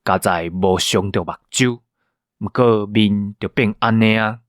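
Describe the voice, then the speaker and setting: Man as low-voiced, genteel Taiwanese gang boss. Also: no echo, clean studio sound, close to an everyday voice. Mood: calm, genteel. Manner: measured, polite, unruffled. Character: genteel, calm, scar-faced gang boss with quiet authority. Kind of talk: radio drama acting.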